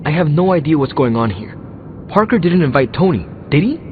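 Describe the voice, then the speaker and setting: A young mechanic hero from a video game, casual and wary. He is informal and easygoing, with lively swings in pitch and muffled sound. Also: fairly quick. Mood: puzzled, hushed. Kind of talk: video game dialogue acting. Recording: muffled band-limited game audio, music and noise.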